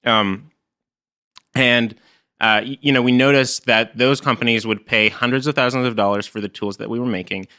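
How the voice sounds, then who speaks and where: A man's voice: mid-range voice, steady tone, normal speed, sharp tone, smooth. A man in his thirties from Israel, a startup guest who talks in an easygoing way, laughs readily and hesitates with fillers. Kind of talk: casual interview.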